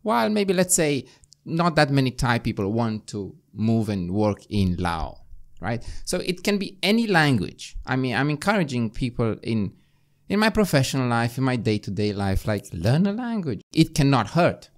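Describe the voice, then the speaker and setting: A man in his forties from Romania with a Romanian accent, hosting a podcast conversation in earnest, persuasive tones, recorded in a small studio on a boom-arm mic. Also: sing-song, moderate pace. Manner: earnest, persuasive, often asks "right?". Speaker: podcast host. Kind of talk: casual conversation. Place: small studio with foam panels.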